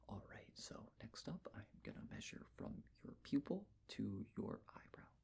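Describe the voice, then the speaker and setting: A person of unclear sex whispering gently in an ASMR roleplay, giving reassuring step-by-step instructions in a breathy voice. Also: low voice, wildly swooping pitch, normal speed. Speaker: ASMR performer playing someone measuring a client's face.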